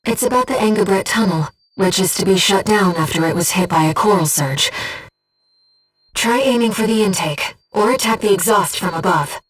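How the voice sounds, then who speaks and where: A woman voicing a gentle, earnest disembodied guide to a mercenary pilot in a video game, with a soft, measured, composed delivery. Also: mid-range voice, slightly rough, far from the mic, natural voice, low-quality recording. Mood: composed briefing. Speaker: mission support operator. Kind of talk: scripted video game voice acting.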